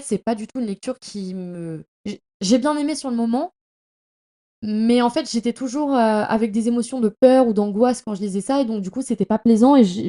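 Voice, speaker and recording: A young woman chatting casually as a podcast host, in a slangy, effusive and emphatic way, often halting and stumbling over her words. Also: medium pitch, warm tone. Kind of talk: casual, chatty monologue about reading.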